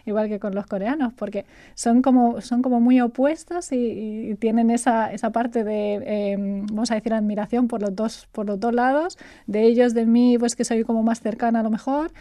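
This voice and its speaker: A talkative woman from Spain, middle-aged, speaking in a relaxed interview. Her voice sits a little high but sounds mellow and warm. Laughs come easily, and she repeats words while searching for the next thought, all in a dry, close recording. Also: moderate pace, hardly any pauses, no room sound. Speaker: guest, artificial intelligence consultant.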